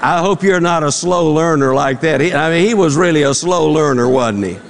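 Folksy Southern preacher in a reverberant hall. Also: male voice, fairly high pitch, normal speed, slight background noise. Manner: folksy storyteller, drawling, acts out voices in jokes. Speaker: pastor. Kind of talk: sermon with folksy joke-telling. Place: church auditorium. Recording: long reverb in a large hall.

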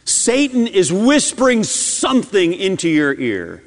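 A man preaching a sermon as a pastor, his delivery swinging between loud urgency and hushed intensity. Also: high-pitched, huge pitch swings, unhurried. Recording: long hall reverb.

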